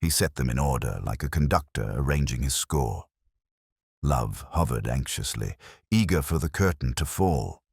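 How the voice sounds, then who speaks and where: Very deep, husky male story narrator. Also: expressive, moderate pace, dry recording, clean studio sound. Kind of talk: scripted dramatic narration of a detective story.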